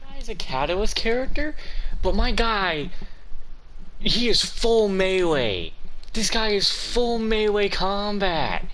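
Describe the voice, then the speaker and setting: Lively slangy male gamer commentator. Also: high-pitched, slow. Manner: slangy, exclamatory, swearing. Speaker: gaming streamer. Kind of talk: casual gameplay commentary.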